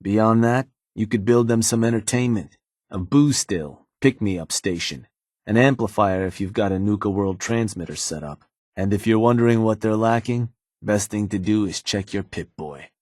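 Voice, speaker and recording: A man's voice: fairly low pitch, moderate pace, darkish tone, no echo, natural voice. An actor playing a laid-back male raider fixer who takes orders from his boss, speaking dry and matter-of-fact in video game dialogue. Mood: businesslike. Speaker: gang fixer taking orders.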